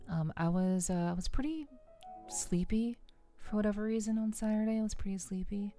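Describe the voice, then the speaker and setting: Woman, livestream host, dark relaxed tone, soft-spoken, casual ASMR chat, hesitant and unhurried, faint music behind. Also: mid-range voice. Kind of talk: casual chat with live stream viewers, ASMR stream.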